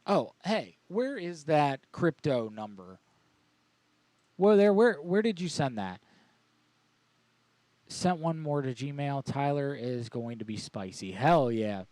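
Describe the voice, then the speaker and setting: A man's voice: a little high, lively intonation, slow, long pauses, darkish tone, no room sound. A man casually bantering as host of a live prank call show, with crude, slangy joking.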